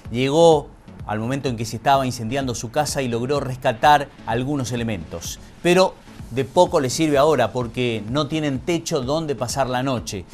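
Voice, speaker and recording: Formal male news narrator. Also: mid-range voice. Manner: measured, formal reading. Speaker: news anchor.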